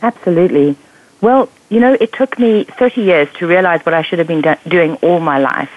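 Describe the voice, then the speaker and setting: A British woman, a communications coach, speaking as a guest in a radio interview with a slightly croaky voice, articulate and reflective. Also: lowish voice, brisk, dry recording. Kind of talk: radio interview over the phone. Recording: dry tinny phone line.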